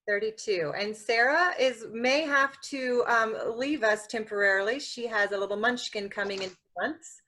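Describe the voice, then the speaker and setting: A woman in her forties hosts a casual podcast chat with a crisp, bright voice. She talks in a relaxed, chatty way, laughing easily between thoughts. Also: on the high side, moderate pace, few pauses. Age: 40s. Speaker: podcast host.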